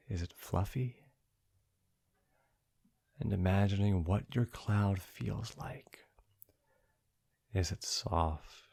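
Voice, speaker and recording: Very slow, low-voiced man guiding sleep meditation. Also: gravelly, slightly rough. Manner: soothing, gentle, hushed, long pauses between short phrases. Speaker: meditation guide, podcast host.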